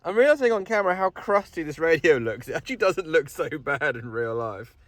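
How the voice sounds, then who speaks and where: A man's voice: a little high, lively intonation, a little fast, fairly bright tone, no room sound. A British man chatting casually and informally to camera as a vlogger, sitting inside a vehicle. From United Kingdom. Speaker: vlogger and radio hobbyist. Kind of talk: casual vlog chat to camera. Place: inside a parked pickup truck.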